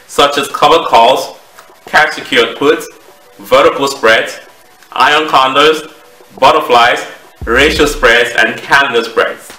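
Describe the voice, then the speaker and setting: A man in his thirties with a Cameroonian accent, giving a measured, explanatory presentation to camera as a trading educator. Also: medium pitch, bright, forceful tone. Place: small home office, indoors. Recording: roomy echo, distant microphone.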